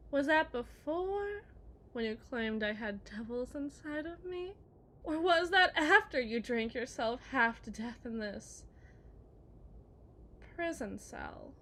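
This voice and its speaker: Girl doing a crazy clingy kidnapper wife, high bright voice, slow taunting asmr roleplay, bit of noise. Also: animated, exaggerated voice. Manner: theatrical, taunting, swinging between hushed and shrill. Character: possessive, unhinged kidnapper wife, mocking and pleading.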